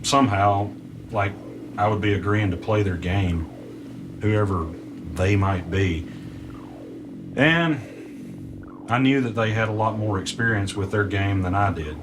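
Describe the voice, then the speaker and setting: A man's voice: lowish voice, lightly acted. An actor playing a wary, nervous audio editor telling his uneasy first-person story in an audio drama. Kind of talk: audio drama narration and dialogue. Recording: clearly noisy background.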